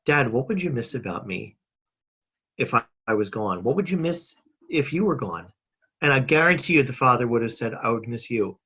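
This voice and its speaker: A man talking earnestly and casually as an interview guest on a video call from home, thoughtful and halting with emphatic pauses. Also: mid-range voice, animated, bright, forceful tone, slightly rough. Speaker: interview guest, mental health advocate and filmmaker. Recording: slightly dull video-call audio.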